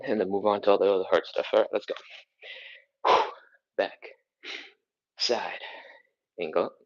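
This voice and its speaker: A man leading a live stretching workout, calling short rep cues with sighs and laughs while sniffly from a cold. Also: sits low. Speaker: stretching instructor. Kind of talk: live-streamed workout coaching. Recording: slightly dull, lo-fi stream audio.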